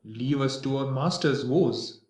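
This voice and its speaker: An actor playing a solemn, reproachful servant in mourning in a Greek tragedy, speaking archaic verse. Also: male voice, mid-range voice, a little slow, darkish tone, lightly acted. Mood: bitter, mournful. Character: grieving male servant of a royal house, reproachful. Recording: echoey room, distant from mic.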